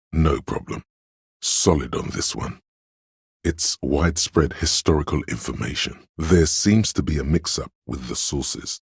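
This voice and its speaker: A man voicing a video game's know-it-all inner voice of encyclopedic trivia, erudite and measured, with a gravelly, husky, very deep delivery. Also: no room sound, studio quality, heavily acted. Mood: self-assured. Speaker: video game voice actor. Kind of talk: scripted game narration.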